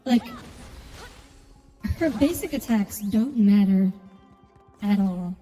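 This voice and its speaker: A woman's voice: mid-range voice, expressive, slow pace, warm tone. A woman giving casual, blunt and opinionated commentary while playing a game. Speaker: game streamer. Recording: long reverb, music behind. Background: game music.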